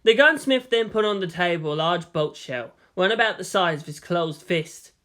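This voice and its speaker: A man's voice: sits high, animated, normal speed, pushed and bright. A man narrating a story from a script as a fan fiction reader.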